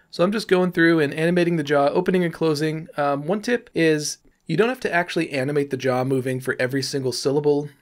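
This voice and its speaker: Casual man explaining things. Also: a little high. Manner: informal, explanatory. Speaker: 3D animation tutorial presenter. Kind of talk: casual tutorial narration.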